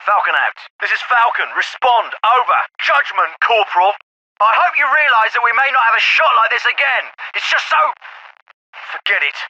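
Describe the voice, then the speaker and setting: A man's voice: high voice, animated, moderate pace, hardly any pauses, pushed and bright, no echo, dull audio, full character voice. A man with a British accent voicing a terse, calculating special forces officer in a video game, clipped and authoritative, through a radio filter. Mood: brisk, businesslike, then exasperated. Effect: radio filter on many lines. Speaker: squad commander giving orders.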